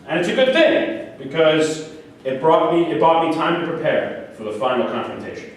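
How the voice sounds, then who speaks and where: In a very echoey room, picked up from a distance, a man performs a higher-pitched male psychiatric patient. He is grandiose and delusional, rambling and arguing his own case in a staged play reading. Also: sing-song, normal speed. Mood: reflective. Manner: argumentative, rambling, self-justifying. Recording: very echoey room, distant mic, faint noise.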